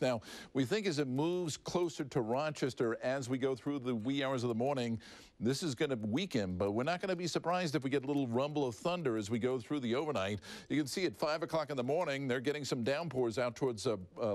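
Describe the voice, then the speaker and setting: A man's voice: medium pitch, no room sound. A man presenting a TV weather forecast in an unscripted, folksy, explanatory way. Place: dry television studio.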